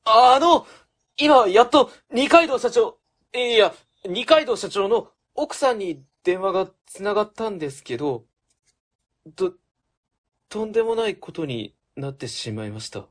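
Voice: medium pitch, lively intonation, unhurried. An actor playing an earnest, polite junior office colleague in a radio drama, deferential and easily flustered. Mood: shaken. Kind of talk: web radio drama acting.

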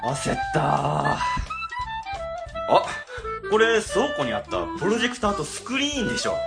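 A man's voice: sits high, wildly swooping pitch, moderate pace, over loud music, full character voice. A man playing a timid, blustering middle-aged section chief in a comedic radio drama, flustered and pompous.

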